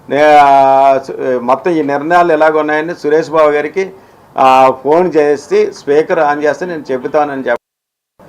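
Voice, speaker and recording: A man making an unscripted statement to the press, halting and repeating himself, emphatic and argumentative. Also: on the high side, rough recording. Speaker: film exhibitor speaking on industry dispute.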